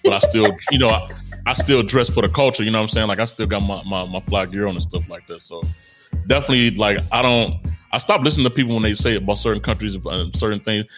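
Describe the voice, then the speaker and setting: Loud young man, rambling chat. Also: lowish voice, quick pace, over music. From United States. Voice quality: strong, loud voice. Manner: loose, rambling, full of fillers and restarts. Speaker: podcast co-host. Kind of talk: casual conversation.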